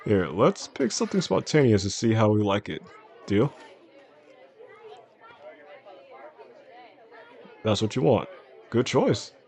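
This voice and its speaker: Charming boss on a dinner date, played by a male actor: low, creaky voice, soft-spoken, flirtatious, intimate audio drama. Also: sing-song, normal speed. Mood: lighthearted, casual. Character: charming attentive boss courting his employee, quietly possessive man. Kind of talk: intimate audio roleplay, addressing the listener. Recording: faint restaurant crowd behind the voice.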